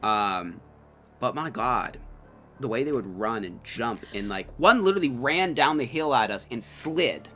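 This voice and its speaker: A man's voice: mid-range voice, expressive, a little slow, fairly bright tone. A man co-hosting a casual, conversational review, rambling through stories with quoted asides. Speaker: co-host of a haunted attraction review. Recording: music under the talk.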